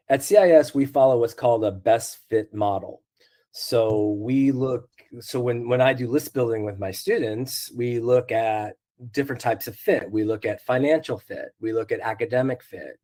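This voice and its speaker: A man speaking as a school counselor on a panel, a little hoarse from a cold, earnest, often restarting his sentences and laughing softly. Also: medium pitch, normal speed. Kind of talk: panel discussion.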